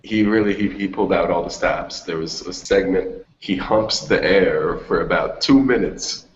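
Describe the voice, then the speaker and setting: Male talk host, low register, casual delivery, muffled roomy recording with music underneath. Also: normal speed. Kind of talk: casual chat.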